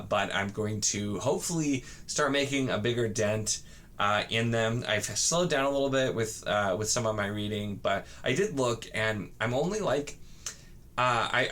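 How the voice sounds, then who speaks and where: A man chatting casually to camera as a book vlogger, in a halting, rambling way with frequent false starts. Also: lowish voice, fairly bright tone. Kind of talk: casual chat to camera about books.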